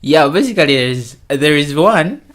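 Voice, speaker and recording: A man's voice: a little high, lively intonation, normal speed, fairly bright tone. A man from Kenya answering interview questions casually, often repeating words as he starts.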